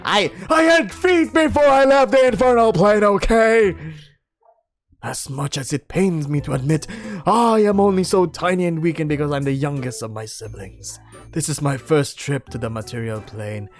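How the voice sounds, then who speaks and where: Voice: medium pitch, moderate pace, faint music behind, voice pushed up, natural voice. A storyteller voicing a tiny, young demon snake who is melodramatic, grandiose and easily offended.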